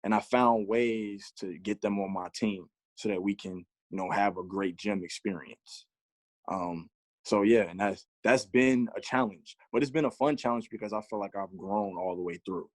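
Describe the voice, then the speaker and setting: A man's voice: on the low side, moderate pace, no room sound. A man in his mid twenties, a gym teacher answering interview questions in an easygoing, reflective way. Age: 20s. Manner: easygoing, reflective, light laughs. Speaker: physical education teacher, guest.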